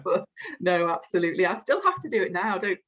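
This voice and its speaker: A woman's voice: on the low side, big pitch swings, fast talker, a bit bright. An effusive woman with a Liverpool accent speaks as a podcast guest. She talks with eager, unpolished enthusiasm, doubling back on words mid-sentence. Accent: Liverpool (Scouse). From Liverpool, England. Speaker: guest, customer care strategy lead. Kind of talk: podcast interview chat.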